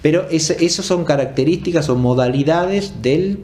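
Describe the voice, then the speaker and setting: A man giving an unscripted academic lecture in a formal, didactic and deliberate way, rephrasing as he goes and often checking in with short tag questions. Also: fairly high pitch, warm tone. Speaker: philosophy lecturer.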